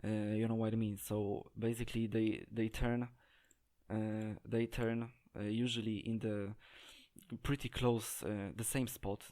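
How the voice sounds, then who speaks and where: A man giving an unscripted gameplay tutorial, talking in a hesitant, halting way as he searches for words. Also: on the low side, little pitch movement, a little mellow. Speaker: game tutorial presenter.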